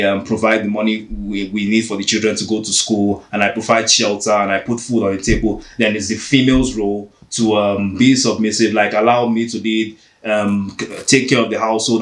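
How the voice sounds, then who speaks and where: Man from Nigeria, earnest, low voice, echoey room. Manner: earnest, keeps pressing to finish his point. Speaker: podcast host. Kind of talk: heated debate.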